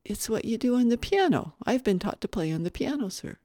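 A woman voicing a gentle, sheltered little rich boy in a storybook, softly and with prim politeness. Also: a little high, big pitch swings, brisk, no room sound, studio quality, voice pushed up. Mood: puzzled, polite. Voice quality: soft, small child's voice.

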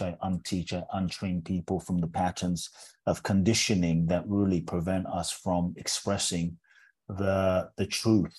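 A man in his forties who grew up in England speaks in a low, mellow and slightly husky voice. He tells his story as an interview guest, earnest and measured, circling back on words as his thoughts unfold. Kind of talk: reflective personal storytelling in an interview.

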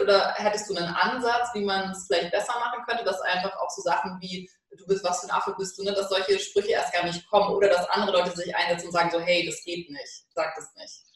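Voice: medium pitch, a bit bright. A podcast host asking long, curious questions in a casual interview, often adding "ne?". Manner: curious, asks long winding questions with frequent "ne?". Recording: very roomy sound, distant from the mic.

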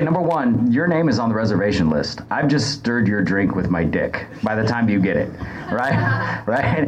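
A man's voice: mid-range voice, rough recording. A man chatting casually as a podcast guest, with a crude, joking way of talking. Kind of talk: casual chat.

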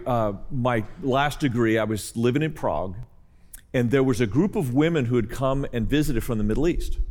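A man preaching to a congregation with a lively, swooping delivery and a slightly high voice. He drops joking asides into his stories. His voice rings with a long reverb through a church hall. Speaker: guest preacher. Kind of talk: sermon with storytelling.

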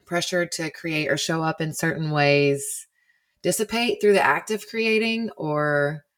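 Low-voiced woman hosting a podcast. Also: a little slow, fairly bright tone, no room sound. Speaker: podcast host. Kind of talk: casual interview chat.

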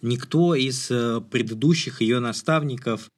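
Male podcast co-host, average pitch. Casual film chat, searches for phrasing, then lands points emphatically. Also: measured pace.

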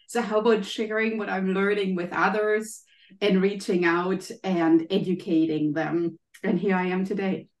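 A woman's voice: mid-range voice, little pitch movement, measured pace, roomy sound, poor audio. A German woman with a German accent, a guest coach explaining things in casual interview answers, with frequent ums and maybes. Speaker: guest, health and happiness coach.

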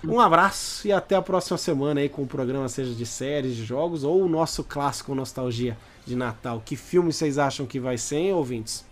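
A man with a Brazilian accent hosting a podcast and chatting eagerly about films with his co-hosts. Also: on the high side. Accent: Brazilian Portuguese. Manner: enthusiastic, chatty, addresses listeners. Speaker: podcast host. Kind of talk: casual film chat.

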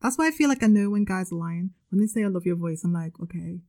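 A woman's voice: lowish voice, expressive, quick pace, a little mellow. Young Scouse woman, podcast co-host, chatty and laughing banter. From Liverpool, England. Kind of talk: casual chat.

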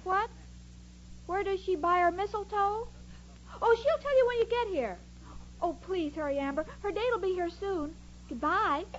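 A scatterbrained young woman speaks in an extremely high, hesitant, earnest voice. She is sweet and naive, in 1940s comedy style. The old broadcast is muffled with faint noise. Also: moderate pace, long pauses. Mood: chirpy, naive. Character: dim-witted, sweet, eager young single woman, scatterbrained roommate. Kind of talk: 1940s radio comedy acting. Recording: muffled, noisy old radio recording.

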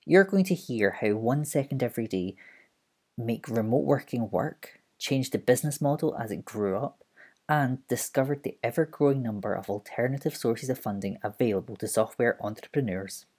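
A man's voice: medium pitch, expressive, normal speed, darkish tone. A man hosting a podcast, reading an upbeat scripted intro. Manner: upbeat, punny announcer. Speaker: podcast host.

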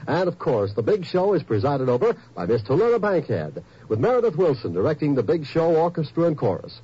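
A man reading network promos in a polished, brisk 1950s announcer style. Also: fairly high pitch, very animated, dark tone, husky. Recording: dull old radio transcription.

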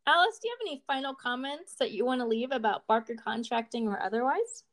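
A woman's voice: on the high side, lively intonation, fast talker, a bit bright. A woman hosting an interview show, speaking in a conversational, enthusiastic and articulate way. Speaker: show host.

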